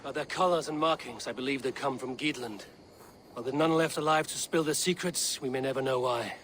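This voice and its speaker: A man's voice: mid-range voice, fairly bright tone, slight background noise, close to an everyday voice. Man playing a stern, commanding Viking leader. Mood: stern, questioning. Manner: stern, measured, authoritative. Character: commanding, level-headed male Viking warrior leader. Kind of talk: video game dramatic acting.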